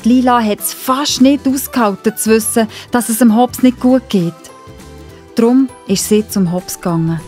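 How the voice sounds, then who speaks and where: A woman's voice: a little high, normal speed, a little mellow, soft background music. A woman with a Swiss German accent narrating a scripted story for children.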